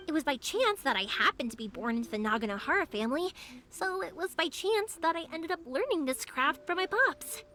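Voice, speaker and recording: A woman voicing a cheerful, earnest young female fireworks maker in a video game, talking warmly and thoughtfully. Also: very high voice. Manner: warm, earnest, reflective with soft laughs. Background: game music.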